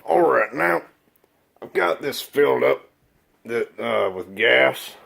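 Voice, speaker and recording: A man with a heavily gravelly, fry-laden voice hosts a casual homemade how-to. His delivery is halting and unsure, rambling along and often trailing off. The pitch sits in an ordinary male middle range. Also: expressive, a bit bright. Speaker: host of a DIY video. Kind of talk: casual, improvised how-to demonstration.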